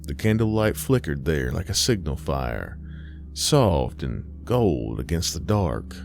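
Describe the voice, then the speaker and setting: Male horror narrator: low, gravelly register, unhurried and suspenseful dramatic delivery with deliberate pauses. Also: big pitch swings, a little mellow, soft background music. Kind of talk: scripted dramatic audiobook narration of Southern Gothic horror.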